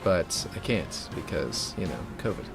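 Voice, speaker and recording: A man with a fairly deep voice chatting casually on a live stream while building a game map, rambling with many fillers and restarts. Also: lively intonation, unhurried, creaky. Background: music.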